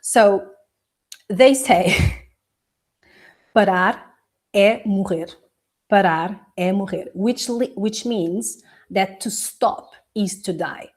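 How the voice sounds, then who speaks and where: A woman whose first language is Portuguese, a coach hosting a casual live video, talking warmly to viewers, laughing often and pausing to sip tea. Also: medium pitch. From Portuguese Angolan. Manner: chatty and encouraging, laughs often, sips tea.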